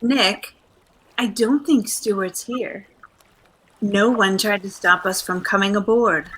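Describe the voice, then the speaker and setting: An actress playing a detective's loyal, insistent wife in 1940s radio style, emphatic and pleading. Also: female voice, medium pitch, slow pace, fairly bright tone, slightly rough, low-quality recording. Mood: uneasy.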